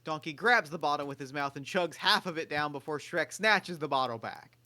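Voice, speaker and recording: A man's voice: a little high, moderate pace, bright, forceful tone. A man reading stage directions for a table read, plainly and with the odd sound effect.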